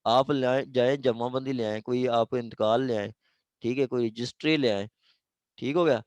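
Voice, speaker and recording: A man with a Pakistani accent teaches law in an informal classroom style. His voice has a middling pitch and is recorded very dry. He explains with examples, slips into English legal terms and often checks that listeners follow. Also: steady tone, normal speed, a little mellow, dry recording, lo-fi sound. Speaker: law lecturer.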